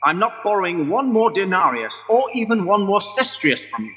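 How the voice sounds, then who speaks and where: A man's voice: high voice, big pitch swings, normal speed, bright, forceful tone, full character voice. A man playing a pompous, blustering Roman emperor in a comic radio play, declamatory and dismissive. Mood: blustering, self-satisfied. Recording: long hall-like reverb.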